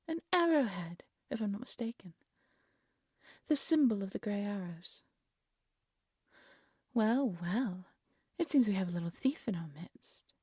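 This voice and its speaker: A woman playing a haughty, cruel evil queen in a fairy-tale audio drama, speaking in an imperious, condescending way with a breathy voice. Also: mid-range voice, mellow, no echo, studio quality. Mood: menacing, silky. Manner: imperious, scolding, condescending, theatrical.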